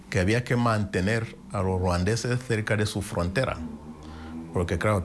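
Deep-voiced man telling his story slowly. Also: a little hiss or hum. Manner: reflective, unhurried storytelling with pauses and restarts. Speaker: interviewee. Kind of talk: personal testimony in an interview.

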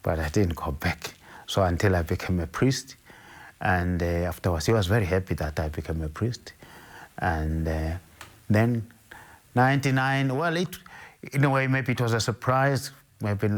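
An older Zimbabwean man with a very low, deep voice. He speaks slowly and carefully in an interview, often pausing and restarting his sentences as he recalls his past. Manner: measured, hesitant, with frequent restarts. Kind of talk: interview, recounting his life.

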